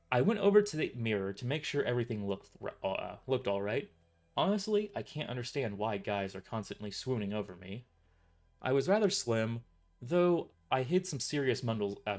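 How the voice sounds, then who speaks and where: A man's voice: mid-range voice, darkish tone. A man narrating a scripted first-person story in an informal way, stumbling and correcting himself as he reads. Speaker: author narrating own novel.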